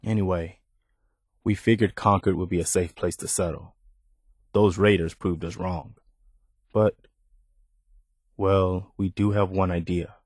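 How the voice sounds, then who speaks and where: Principled militia soldier, lowish voice, earnest, plain-spoken, weary, video game dialogue, dry close recording. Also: male voice, fairly even intonation, measured pace, long pauses, darkish tone, no room sound. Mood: weary, somber.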